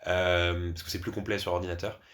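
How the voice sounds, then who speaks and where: A man's voice: deep voice, little pitch movement, a little fast, a bit bright. A man with an accent from France, a podcast guest telling personal anecdotes in a casual, conversational way. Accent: French from France.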